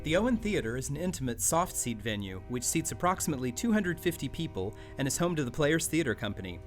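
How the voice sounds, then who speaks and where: A man reading a theater announcement in a smooth, measured way, then acting in a radio play. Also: a little high, sing-song, soft background music. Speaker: narrator and lead actor. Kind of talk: 1940s radio drama acting.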